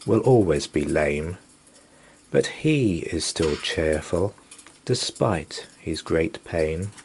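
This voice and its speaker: A man's voice: low-pitched, expressive, slow, a little mellow, slight background noise, full character voice. A man voicing a jolly, kindly festive spirit in a storybook, with a hearty declamatory manner.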